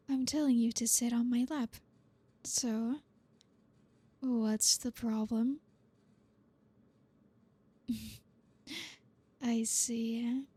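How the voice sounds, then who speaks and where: A woman playing a dominant, flirty boss in an ASMR roleplay, speaking softly and breathily with teasing, deliberate command, close to the microphone. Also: a little high, no echo, full character voice. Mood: coolly persuasive. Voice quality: breathy, soft. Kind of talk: ASMR roleplay, close-mic soft speech. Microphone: close microphone, dry.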